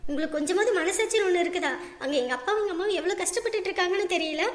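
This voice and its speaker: A woman's voice: very high-pitched, very fast. A woman playing a guilt-ridden, resentful young runaway bride in a family drama, reproachful. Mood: angry reproach. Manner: tearful, reproachful. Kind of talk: amateur village family drama acting. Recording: echoey room sound.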